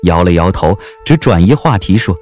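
A man narrating a historical romance audio drama as an expressive storyteller. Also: low voice, moderate pace.

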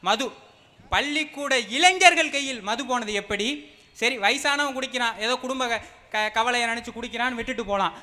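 A man's voice: extremely high pitch, sharp tone. A young man giving a fiery, emphatic political speech at a public meeting. He mixes slang with formal phrasing. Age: young adult. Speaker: party speaker at a public meeting.

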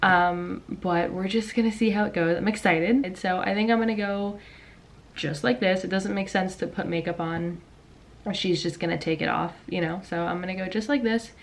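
A young woman vlogging casually from her bedroom, chatty and rambling with lots of ums and likes, her voice raspy from just waking up. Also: on the low side, fairly even intonation, normal speed. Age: young adult. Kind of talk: casual vlog chat. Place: bedroom at home. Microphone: camera in front at desk.

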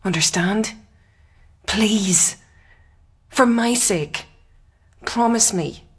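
A husky, spirited young woman. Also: medium pitch, extremely slow, exaggerated voice. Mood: urgent pleading. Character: spirited, sardonic young woman, guarded and urgent. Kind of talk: radio drama acting.

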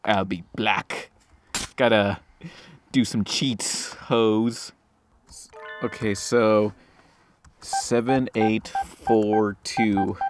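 A man's voice: lowish voice, steady tone, very slow, long pauses, over music. A man giving casual, sarcastic, sweary let's play commentary, joking and reading game text aloud. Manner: sarcastic, profane. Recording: clear background noise.

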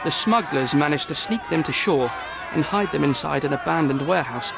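An actor playing a harried, defensive city hall official in a video game, speaking formally and precisely. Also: male voice, a little high, expressive, fairly quick, gravelly, a crowd in the background, noisy background. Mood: resigned, explanatory. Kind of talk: video game cutscene acting.

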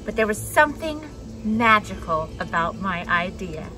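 A young adult woman reading a children's picture book aloud expressively, with dramatic pauses and emphasis. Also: medium pitch, big pitch swings, over music, rough recording. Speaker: storybook reader for a children's church message. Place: outdoors on a wooden deck among trees.

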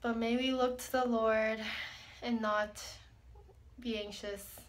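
A young woman with a slightly high voice talks quietly into a phone at arm's length in a small tiled bathroom. She speaks hesitantly, stopping and restarting often. Faint phone noise hums underneath. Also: level tone, slow. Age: young adult. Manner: quiet, hesitant, many likes. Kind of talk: casual reflective chat to camera. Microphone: phone held at arm's length.

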